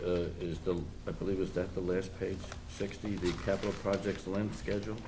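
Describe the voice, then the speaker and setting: A man explaining a financial report item by item at a public meeting, in a halting way, as a town finance official. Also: deep voice, flat delivery. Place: town board meeting room. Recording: noisy public meeting room recording.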